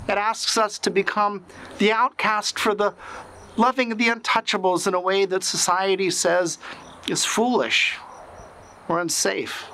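Slow, high-voiced middle-aged priest preaching. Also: male voice, a bit bright, a little hiss or hum. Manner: slow, earnest, reflective preaching. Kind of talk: sermon. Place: outdoors on a sunny lawn.

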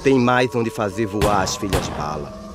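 A man playing a stoic, resigned peasant pallbearer, speaking deliberate, solemn verse in an animated film. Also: on the low side. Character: stoic male peasant carrying the dead, dry, resigned. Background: music.

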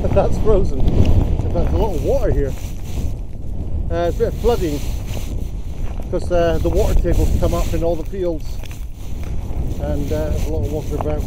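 A man in his forties chatting casually and in a rambling, self-deprecating way as he walks outdoors, filming himself on a hike. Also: fairly high pitch. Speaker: hiking vlogger. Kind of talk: casual walking chat to camera. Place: outdoors, frosty open hillside fields. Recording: loud wind noise on the microphone. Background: loud wind.

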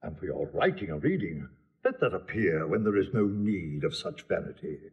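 A man's voice: sits low, sing-song, moderate pace, lo-fi sound. An actor playing a pompous, muddle-headed constable instructing his watch in a classical stage comedy style. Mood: pompous instruction. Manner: pompous, self-important, instructing.

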